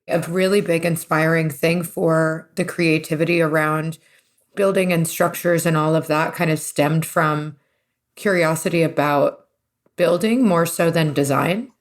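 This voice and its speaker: A woman from the East Coast of the United States talks as a guest in a casual interview. Her voice sits slightly low, and she is chatty and readily pokes fun at herself. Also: steady tone, measured pace. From US East Coast, New Jersey and New York. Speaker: interview guest, renovation business partner.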